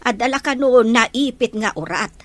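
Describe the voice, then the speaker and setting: A woman's voice: fairly high pitch, expressive, normal speed, a bit bright, dry recording. A woman acting an elderly grandmother, caring but scolding, in an audio drama with an Ilocano accent. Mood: caring, explaining.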